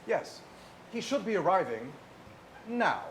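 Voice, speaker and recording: A man playing a formal, logical alien science officer with deadpan delivery in a stage parody. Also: high-pitched, slow pace, heavily acted. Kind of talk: comic stage parody acting. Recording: noisy live stage room.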